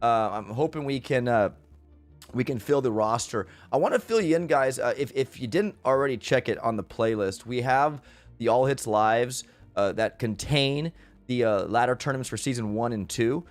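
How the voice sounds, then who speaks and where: A man's voice: medium pitch, normal speed, soft background music. A middle-aged man with a head cold and a stuffy nose, hosting a casual live stream in an upbeat, rambling way with lots of fillers and restarts. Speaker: streamer host.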